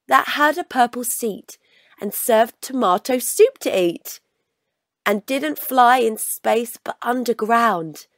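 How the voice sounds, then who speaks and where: Female storybook reader, fairly high voice, unhurried delivery, expressive, very dry close sound. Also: no room sound. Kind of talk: scripted children's story read-aloud.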